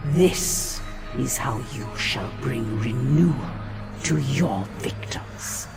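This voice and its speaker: A woman playing a stern, grieving elven leader in a game cinematic, solemn and measured with weighty pauses. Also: very deep voice, vocal fry, exaggerated voice. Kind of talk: dramatic video game cinematic acting. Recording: game cinematic with loud scary music. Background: loud ominous orchestral music.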